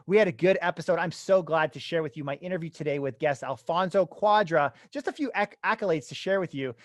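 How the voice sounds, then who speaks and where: A man's voice: high-pitched, no echo. A young man hosting a real estate podcast, introducing his guest with enthusiastic, casual energy. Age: young adult. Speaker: podcast host. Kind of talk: upbeat podcast intro and interview.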